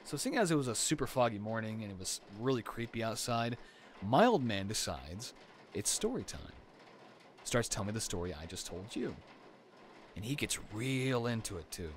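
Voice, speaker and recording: Stormy ambient noise sits behind a young boyfriend played by a man with a medium-pitched voice. He is easygoing and affectionate, spinning spooky stories in a loose, slangy, conversational way. He pauses to think, as in an intimate roleplay audio made for one listener. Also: a bit bright. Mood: amused, animated. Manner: casual, slangy, hesitant pauses, storytelling aloud.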